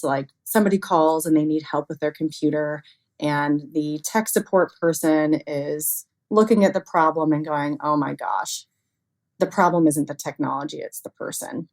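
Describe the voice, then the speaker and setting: A woman with a low-pitched voice talks as an expert guest in an interview. She explains ideas conversationally, in informal language, often through everyday examples and quoted reactions. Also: darkish tone. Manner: frequently chuckles, informal, illustrates with acted-out quotes.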